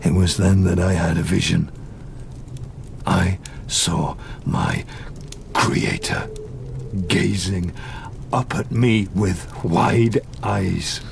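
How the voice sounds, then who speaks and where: An eloquent, wounded monster in an audio drama. The voice is low and creaky, and it moves very slowly and deliberately. It is solemn and lonely, with faint sound behind it. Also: male voice, fairly even intonation, background noise, full character voice. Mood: haunted, sorrowful. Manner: slow, deliberate, solemn storytelling.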